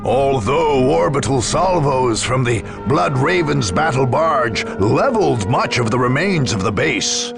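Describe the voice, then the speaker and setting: Solemn guy narrating a dramatic game story, kinda gravelly, slow measured pace, music behind. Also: medium pitch, very animated. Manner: solemn, formal storytelling delivery.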